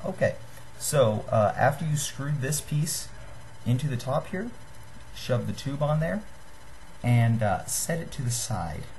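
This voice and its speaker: Young adult male, medium pitch, hesitant and self-effacing DIY presenter, off-the-cuff delivery, slightly noisy webcam sound. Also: animated, measured pace, darkish tone. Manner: apologetic, hesitant, self-deprecating. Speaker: DIY video maker giving a tutorial. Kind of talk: casual unscripted how-to talk. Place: small room, indoors. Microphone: built-in webcam at desk distance. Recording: noisy low-grade webcam microphone.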